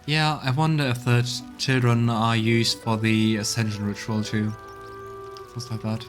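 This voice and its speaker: A man streams a let's play with casual, thinking-aloud commentary. His voice is mid-pitched and a bit hesitant, with game music clearly audible behind him. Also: little pitch movement, unhurried.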